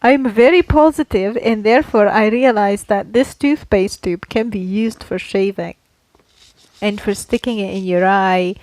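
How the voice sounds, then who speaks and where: A woman's voice: mid-range voice, unhurried, a little mellow, no echo, poor audio. A woman co-hosting a casual podcast, explaining her points in a playfully argumentative way. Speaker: podcast co-host. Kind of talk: casual conversational podcast talk.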